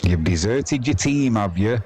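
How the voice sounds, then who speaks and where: A man's voice: fairly low pitch, animated, a little slow, a little mellow. A man giving informal live ball-by-ball cricket commentary in a wry, opinionated, colloquial and mildly sweary way. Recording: crowd noise behind the voice. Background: sports crowd.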